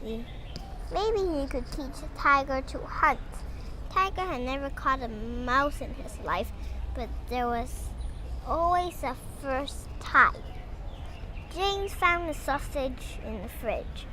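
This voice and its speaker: Young girl child, high voice, slow halting storybook read-aloud, faint background noise. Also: bright, forceful tone. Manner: halting, stumbling over words. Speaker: young reader.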